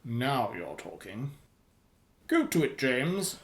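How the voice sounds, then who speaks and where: An actor in a radio play voicing a menacing, sardonic boss of a shady firm, clipped and commanding. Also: male voice, mid-range voice, very animated, takes it slowly, echoey room. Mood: brisk, approving.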